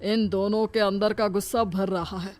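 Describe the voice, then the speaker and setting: A woman's voice: mid-range voice, fairly even intonation, normal speed, darkish tone, natural voice. A woman voicing an older, paternal father-in-law who advises patiently in a cartoon moral story. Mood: scheming, advising. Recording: music bed behind voice.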